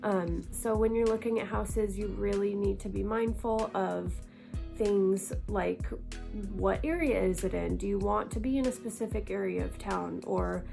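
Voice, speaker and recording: A woman's voice: medium pitch, steady tone, measured pace, vocal fry, dark tone, soft background music. A young woman realtor talking casually and directly to her viewers, stuffy and congested, with frequent ums. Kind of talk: casual educational vlog.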